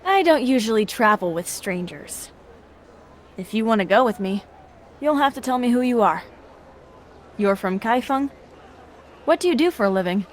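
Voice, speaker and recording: An actress playing a young wandering heroine in a video game, wry and direct in manner. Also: female voice, a little high, lively intonation, normal speed, long pauses, slight background noise, natural voice. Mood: frank, curious. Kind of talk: video game cutscene acting. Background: music and crowd chatter.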